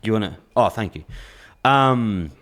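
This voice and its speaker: A man's voice: fairly low pitch, expressive, normal speed, a bit bright. A young adult Australian man hosting a podcast, telling stories bluntly and swearing in nearly every sentence. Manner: swears constantly, blunt storytelling. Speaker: podcast host. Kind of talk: casual podcast chat.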